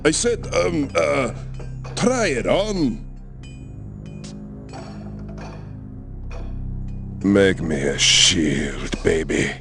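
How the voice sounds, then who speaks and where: A man's voice: on the low side, lively intonation, slow, gravelly, music in the background, heavily acted. A man playing an awkward, flustered newcomer in a cartoon adventure game, speaking hesitantly and uneasily. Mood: uneasy. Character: awkward, flustered male newcomer posing as a hammersmith.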